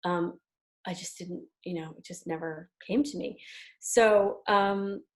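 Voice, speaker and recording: A woman from New York with an American accent, talking casually as an interview guest, chatty with hesitant pauses. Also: fairly low pitch, steady tone. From New York, United States. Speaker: interview guest, tarot deck creator and artist.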